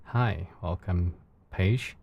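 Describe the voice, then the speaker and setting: A man from China with a Chinese accent, a Mandarin speaker talking in English, chatting casually and haltingly with viewers on a live stream in a soft, soothing voice. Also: deep voice, creaky, muffled recording. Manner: unhurried, halting, with fillers and restarts.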